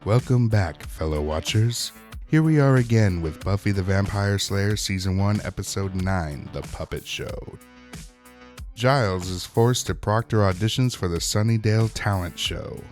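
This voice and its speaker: Male recap host, lowish voice, wry and colloquial, casual narration over faint music. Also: measured pace, darkish tone. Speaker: host of a TV episode recap show.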